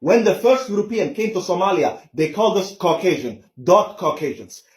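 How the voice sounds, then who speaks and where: A man's voice: high-pitched, very animated, moderate pace, reverberant. A man delivering an insistent, lecturing opinion monologue to camera.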